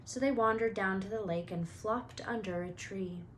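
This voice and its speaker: A woman's voice: medium pitch, normal speed, slight background noise. A woman reads a storybook aloud as storyteller, narrating expressively.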